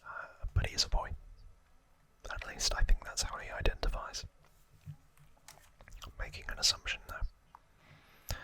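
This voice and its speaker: A man hosts an ASMR trivia session entirely in a hushed whisper. His delivery is very slow and informal, as if explaining things off the cuff. The whisper has a bright, sharp edge. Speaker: ASMR host.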